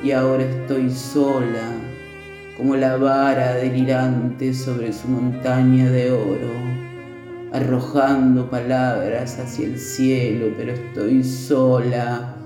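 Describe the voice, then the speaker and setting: A woman's voice: rumbling low, flat delivery, slow pace, roomy sound. An actress playing the solemn, melancholy ghost of a woman poet in a radio play, reciting. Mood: solemn, mournful. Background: soft bowed string music.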